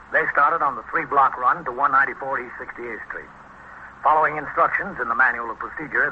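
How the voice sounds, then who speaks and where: Actor as deadpan official american narrator guy, mid pitch, muffled crackly old radio. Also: natural voice. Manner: formal, deadpan, procedural. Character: sober, official male police-case narrator. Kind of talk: scripted narration, 1950s radio drama.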